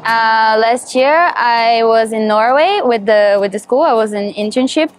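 A young woman from Brazil speaks English with a Brazilian Portuguese accent, her voice sitting fairly high. She answers questions cheerfully, laughing often and restarting her phrases. She is outdoors on a city terrace, speaking into a clip-on mic, with faint music underneath. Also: a little slow. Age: young adult. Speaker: culinary student. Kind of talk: interview answers.

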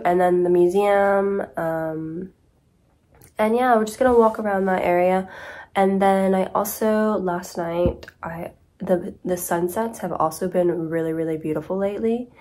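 Slow-talking congested young woman. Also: medium pitch, darkish tone. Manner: chatty, rambling. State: congested from a cold. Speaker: vlogger. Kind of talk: casual chat to camera. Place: small apartment room with kitchen, indoors.

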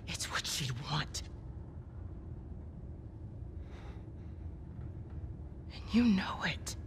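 A woman playing a grave, persuasive resistance leader with a breathy voice in a video game. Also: low voice. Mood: hushed, pleading. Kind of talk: cinematic video game acting.

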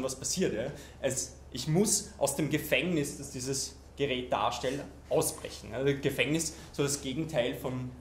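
Man in an echoey hall. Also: medium pitch. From Austria. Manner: loose, conversational, many ums and restarts. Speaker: keynote speaker. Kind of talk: conference keynote talk. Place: lecture hall with projection screen. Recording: echoey lecture hall, faint noise.

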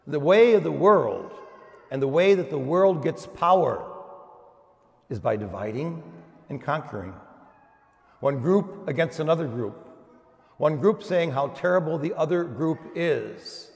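Older American preacher, gravelly and echoing. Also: male voice, a little high, expressive, a little mellow. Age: older, around 70s. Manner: emphatic, deliberate, repetitive phrasing. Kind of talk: sermon. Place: large church, speaking from the pulpit. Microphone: pulpit gooseneck microphone. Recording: reverberant church hall.

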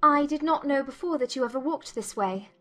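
A young gentlewoman of Regency England in an audiobook drama. Her voice sits fairly high, and she is playful, arch and spirited in formal phrasing. Music plays behind her. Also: a little fast. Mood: polite.

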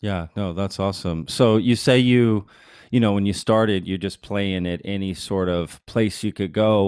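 A man's voice: lowish voice, a little mellow, dry recording. A man in his thirties hosting a podcast interview, chatting casually and enthusiastically with plenty of fillers, recorded on a large studio mic. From grew up bilingual, mother from Mexico City. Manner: enthusiastic, lots of fillers and repeated "that's cool". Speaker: podcast host. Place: small studio set. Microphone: large mic on boom arm.

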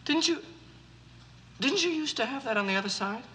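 A man's voice: very high-pitched, expressive, normal speed, exaggerated voice. An actor playing a frenzied male mad scientist who barks orders and cries out theatrically. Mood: commanding. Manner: wild, theatrical shouting.

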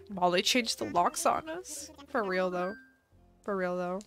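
A woman's voice: mid-range voice, lively intonation, slow, fairly bright tone. A woman live streaming a game in casual chat, chatty and giggly, her voice slightly stuffy from a cold. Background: faint background music.

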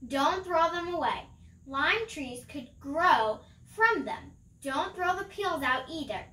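Bright-voiced young girl reading slowly. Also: high voice. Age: child, about 9 to 12. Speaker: picture book reader. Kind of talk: careful read-aloud of a children's picture book. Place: small room at home, indoors. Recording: roomy home recording.

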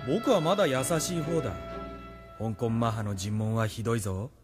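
A man's voice: mid-range voice, moderate pace, over music, natural voice. A man playing a proud, vengeful young military officer in an audio drama, with a menacing, taunting manner. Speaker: voice actor. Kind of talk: anime-style audio drama acting.

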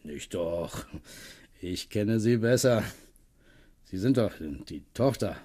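An actor playing a kindly, practical farm tenant in a German radio play, talking in a coaxing, homely way. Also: male voice, lowish voice, expressive, slow pace, mellow, slightly rough, full character voice. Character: plain-spoken middle-aged male farm tenant, kindly, practical.

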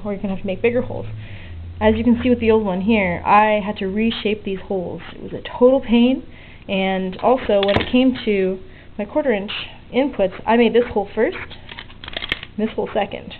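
A woman talks through a hands-on project in a casual, explanatory way, with a medium-pitched voice. The sound is thin and phone-like, as from a small camera mic, with some faint noise behind her. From outside the US. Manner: explanatory, conversational. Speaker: DIY electronics video maker. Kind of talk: informal hands-on tutorial narration. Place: indoors at a desk. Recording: muffled phone-like lo-fi audio, noticeable noise.

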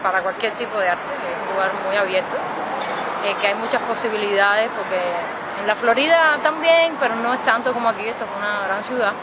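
A woman's voice: mid-range voice, a little fast. A woman in her thirties from Cuba with a Cuban accent, chatting relaxedly as an interview guest, often adding tag questions. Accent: Cuban Spanish. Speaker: percussionist guest. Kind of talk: casual interview answers. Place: outdoors at night by a city street, beside drums. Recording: loud street noise behind.

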